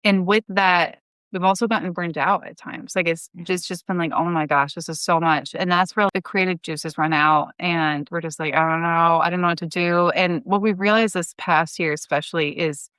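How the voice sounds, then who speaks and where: A woman's voice: lowish voice, fairly even intonation, moderate pace, a bit bright, clean tone, no room sound. A woman in her thirties chatting as a guest in a casual interview, explaining things in a chatty way. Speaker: guest, audio drama creator.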